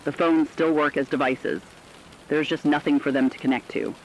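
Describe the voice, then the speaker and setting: A man playing a reflective, compassionate radio correspondent, delivering a scripted essay in an earnest, deliberate way. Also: on the high side, fairly even intonation, slight background noise, close to an everyday voice, poor audio. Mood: earnest, somber. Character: reflective, compassionate male radio correspondent during a global crisis. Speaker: radio commentator.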